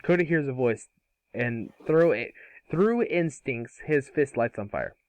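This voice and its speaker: A man narrating a script aloud in an amateur table read, with a halting, stumbling delivery. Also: mid-range voice, lively intonation, no echo, low-quality recording.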